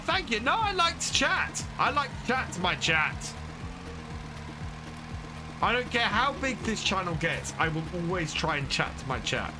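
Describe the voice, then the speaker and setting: A man chats easily to his stream viewers while working through a farming game. His voice sits a little high and swings widely in pitch. Game music plays clearly behind him. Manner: chatty, reads out and thanks chat between game tasks. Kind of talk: casual live-stream gameplay chat.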